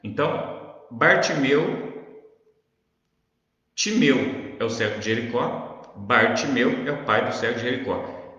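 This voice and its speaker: A man's voice: mid-range voice, a bit bright. A man with a São Paulo Brazilian accent gives an informal Bible lesson. His delivery is conversational and instructive, with frequent little check-ins to the listener. The recording is heavily echoed with a long reverb tail, and the sound is dull. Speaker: online Bible teacher. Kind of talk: informal Bible teaching to camera.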